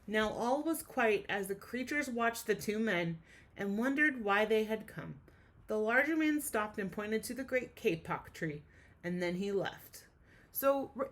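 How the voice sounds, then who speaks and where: Expressive woman teacher reading aloud to children. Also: a little high. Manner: enthusiastic, addresses children directly with questions. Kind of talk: expressive storybook read-aloud with teacherly asides.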